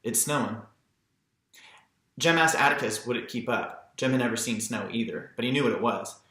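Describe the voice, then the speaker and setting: A man's voice: mid-range voice, a little fast, sharp tone, reverberant. A man reading a literary audiobook as narrator, in scripted storytelling style. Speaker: audiobook reader.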